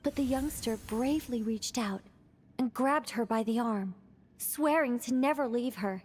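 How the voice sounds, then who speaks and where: A woman's voice: on the high side, a little slow, a little mellow. A woman voicing a composed, playful young female mage who tells tales theatrically in a video game. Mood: dramatic. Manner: theatrical storytelling. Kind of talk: video game voice acting, dramatic narration.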